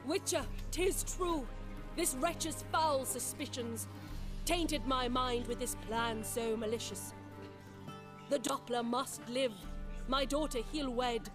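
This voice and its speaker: A woman's voice: sits high, slow, music in the background. A woman playing a grand noble mother in a stage play, declaiming theatrically in verse. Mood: contrite.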